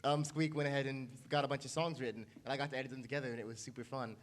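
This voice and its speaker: A man's voice: medium pitch, fairly even intonation, rapid-fire. A man chatting casually as a panelist at a convention, talking into a microphone. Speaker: panelist, audio editor. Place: convention meeting room with an audience.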